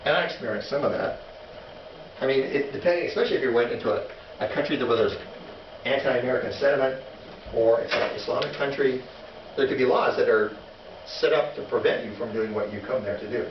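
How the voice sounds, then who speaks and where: A man teaching a missions class in a relaxed, halting way, with many restarts and fillers while telling stories. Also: medium pitch. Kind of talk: informal classroom lecture. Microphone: distant room mic. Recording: echoey, distant, muffled classroom recording with noise.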